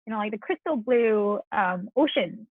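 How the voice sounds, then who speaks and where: A woman's voice: medium pitch, expressive, normal speed, no echo. A woman in her twenties from South Korea with a Korean accent, chatting casually as a podcast guest. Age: 20s. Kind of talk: casual chat. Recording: tinny, phone-like line, dry.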